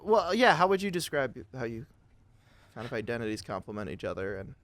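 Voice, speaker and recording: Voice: mid-range voice, very animated, brisk, very noisy. A podcast host chatting casually in a playful, joking manner. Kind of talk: casual chat.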